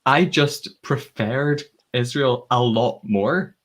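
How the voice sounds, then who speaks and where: A man hosting a casual panel chat over a video call, opinionated and relaxed, laughing at himself now and then. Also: mid-range voice, slow. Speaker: host.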